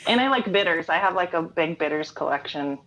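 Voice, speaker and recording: Low, even-voiced American woman, slightly muffled. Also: fairly quick. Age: middle-aged. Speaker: interview guest, singer-songwriter and writer. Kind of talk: casual interview chat. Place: remote online call from home. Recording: dull lo-fi online call audio.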